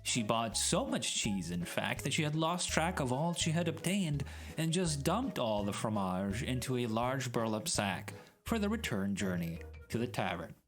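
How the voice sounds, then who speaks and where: A man's voice: mid-range voice, big pitch swings, moderate pace. A man giving a dramatic, mock-serious reading of a comic story, addressing the listener directly. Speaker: storyteller, streamer reading aloud. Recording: music added behind the voice. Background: music and sound effects.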